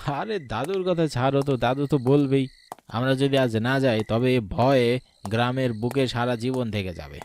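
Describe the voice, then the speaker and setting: A man voicing a headstrong, daring young village leader in an animated horror story. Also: medium pitch, moderate pace, slight background noise, natural voice. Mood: dismissive, bold. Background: music.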